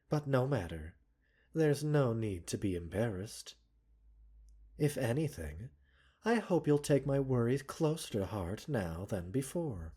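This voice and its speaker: A man playing a gentle, caring doctor in a roleplay ASMR, speaking softly and breathily with measured, polite, gently chiding formality. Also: mid-range voice. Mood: warm, amused, then fond, reassuring. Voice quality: soft, breathy. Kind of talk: roleplay ASMR, scripted character acting.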